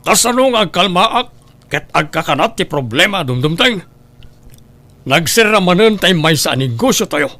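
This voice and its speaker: A man's voice: sits high, very animated, normal speed, pushed and bright, no echo, heavily acted. A man with an Ilocano accent playing an angry, money-worried businessman father in an audio drama. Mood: agitated. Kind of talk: Ilocano radio-style drama acting.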